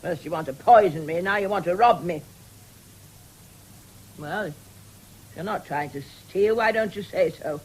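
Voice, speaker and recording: An actress playing a querulous, suspicious and frightened old invalid mistress in a radio drama, with an imperious, fretful manner. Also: female voice, lowish voice, unhurried, pauses often, husky, rough recording. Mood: suspicious, scolding. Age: older. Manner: imperious, fretful, muttering and exclaiming. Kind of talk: 1970s radio drama acting.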